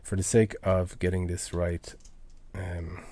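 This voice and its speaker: A man with a deep voice talks slowly through a casual computer tutorial. He is hesitant, stopping often to think out loud as he works on a livestream. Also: level tone, very noisy. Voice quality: low voice. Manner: hesitant, halting, thinking aloud. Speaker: live streamer making tracker music. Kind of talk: casual tutorial chat while working. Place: indoors at a computer.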